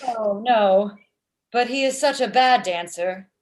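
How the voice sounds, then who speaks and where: A woman's voice: a little high, slow. Woman playing stilted, earnest female robot. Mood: uneasy. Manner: stilted formal phrasing, spoken-out laughs. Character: earnest, literal-minded female robot with blunt jokes. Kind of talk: comedy table read. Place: small room at home, video call. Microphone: video call computer microphone.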